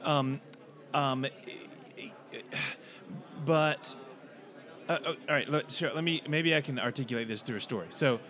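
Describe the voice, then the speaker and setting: A man hosting a discussion, searching for words with many pauses and fillers. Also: mid-range voice, bright, forceful tone. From United States. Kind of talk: casual discussion.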